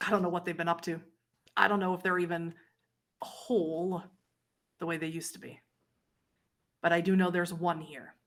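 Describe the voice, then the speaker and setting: Woman playing a weary, low-voiced old lady vampire. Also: steady tone, normal speed, long pauses, close to an everyday voice. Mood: guarded, ominous. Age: older.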